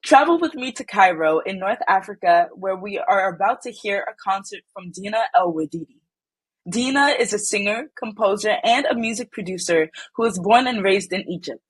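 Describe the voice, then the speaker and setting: A woman's voice: medium pitch, expressive, normal speed, slightly rough, dry recording, low-quality recording. A woman hosting a show in a cheerful, chatty scripted style. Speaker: show host.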